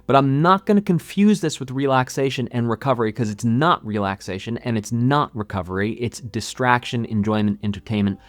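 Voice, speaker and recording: A man coaching to camera in a motivational explaining style, driving points home with emphatic repetition and deliberate pauses. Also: mid-range voice, dry recording, studio quality. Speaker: music coach and channel host.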